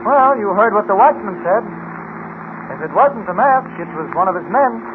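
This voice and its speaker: A man playing a calm, confident reporter hero in an old radio serial, brisk and reassuring. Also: high-pitched. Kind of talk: 1940s radio serial acting. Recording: tinny, noisy vintage radio recording.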